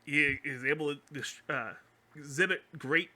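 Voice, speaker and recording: A man co-hosting a podcast in animated, slangy, joking casual chat. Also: a little high, unhurried. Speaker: podcast co-host.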